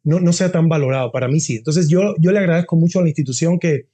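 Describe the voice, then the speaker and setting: Mellow-voiced chatty male dean. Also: a little high, quick pace. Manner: earnest, chatty, restarts phrases often. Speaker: university dean. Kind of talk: casual interview conversation.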